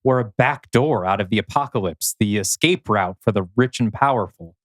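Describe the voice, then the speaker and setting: A man's voice: on the low side, moderate pace, a bit bright, no room sound, clean studio sound. A man reading the part of a wary, unsettled man in a science-fiction script. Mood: uneasy. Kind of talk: script table read.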